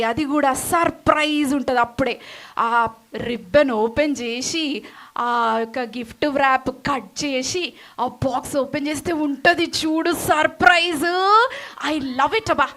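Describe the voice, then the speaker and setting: A woman's voice: high-pitched, measured pace, a bit bright. A woman voicing a naive, boastful child puppet in a children's church show, speaking. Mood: excited, questioning. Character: Goldie, chatty naive excitable child puppet, girl.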